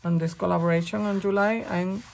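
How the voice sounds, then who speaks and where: Woman, middle-aged, raspy from a cold, gravelly low voice, slow chatty talk. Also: fairly even intonation. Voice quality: rough, raspy voice. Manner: slow, chatty, thinking aloud while working. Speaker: crafting channel host. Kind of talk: casual craft tutorial talk.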